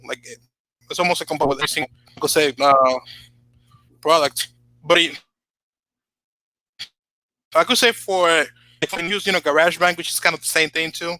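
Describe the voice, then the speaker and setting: A man whose first language is Spanish, chatting casually as the host of a talk show, rambling with many fillers and rising to animated emphasis. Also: on the high side, fairly quick, pauses often, low-quality recording. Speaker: channel host. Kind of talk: casual podcast chat.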